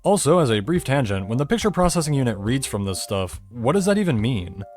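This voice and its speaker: Male explainer narrator, mid-pitched with very varied intonation, scripted but conversational, faint music underneath. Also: sing-song, fairly quick, darkish tone. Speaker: video creator narrating a technical explainer.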